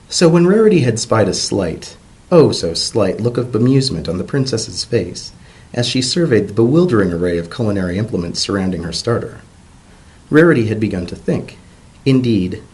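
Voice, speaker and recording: A man giving an expressive, measured dramatic reading of a story as its narrator. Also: lowish voice.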